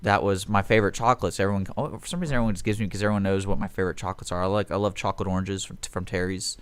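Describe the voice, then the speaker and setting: A man's voice: low voice, steady tone, racing, clear, resonant tone, dry recording. An American man co-hosting a radio show, chatting casually and rambling through anecdotes at a close studio mic. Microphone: close studio microphone.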